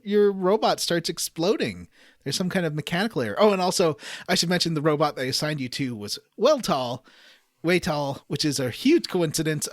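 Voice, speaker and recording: Male podcaster, higher-pitched and animated, casual rambling recap, enthusiastic. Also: dry recording, studio quality. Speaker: podcast host. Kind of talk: casual chat, recapping a video game.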